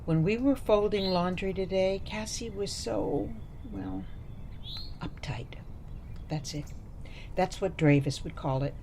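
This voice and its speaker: A woman's voice: medium pitch, takes it slowly, dark tone, a little hiss or hum. A woman playing a sweet, chatty, meddling elderly mother in a radio play, coaxing and cheerfully oblivious. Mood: chatty, confiding. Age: older.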